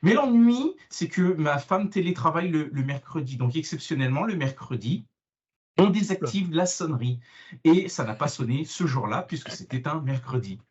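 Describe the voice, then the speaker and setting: A man's voice: a little high, lively intonation, a little mellow. Hesitant French man on dull call audio. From France. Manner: hesitant, lots of pauses and euh. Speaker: guest author and developer. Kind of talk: casual interview answers.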